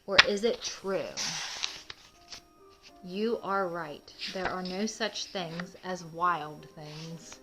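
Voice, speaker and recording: A woman's voice: on the low side, extremely slow, over loud music, low-quality recording. A woman hosting a children's read-aloud, telling a picture book story expressively and addressing young listeners in simple terms. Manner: asks young listeners questions, explains simply; emphatic, expressive reading.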